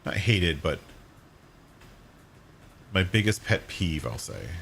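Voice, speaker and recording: A man with a hoarse voice, chatting casually and rambling while streaming a game. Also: low voice, slow pace, a little hiss or hum. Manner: rambling, self-correcting, relaxed. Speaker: gaming streamer. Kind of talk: casual live-stream chat while playing.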